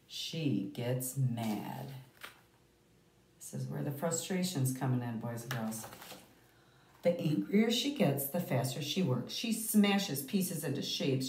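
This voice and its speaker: Echoey, expressive woman reading to children. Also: lowish voice. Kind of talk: expressive picture-book read-aloud with asides to children. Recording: roomy, echoey, distant microphone.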